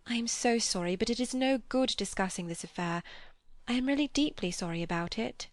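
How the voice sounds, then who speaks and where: A woman's voice: on the high side, brisk, no room sound, pitched above the performer's own voice, lightly acted. A woman reading a flustered, proper young woman. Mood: apologetic. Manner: agitated, rising.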